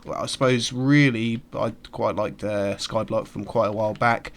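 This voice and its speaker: A man's voice: fairly low pitch, steady tone, normal speed. A man giving casual, rambling commentary while playing a game, sounding nasal from a cold. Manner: chatty, rambling with fillers. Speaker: gaming YouTuber. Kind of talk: casual let's-play commentary.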